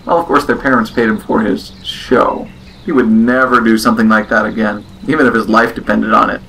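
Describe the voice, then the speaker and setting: A man's voice: on the low side, little pitch movement, a little fast, creaky, sharp tone, slight background noise, low-quality recording. A man reading scripted story narration for an amateur audio drama.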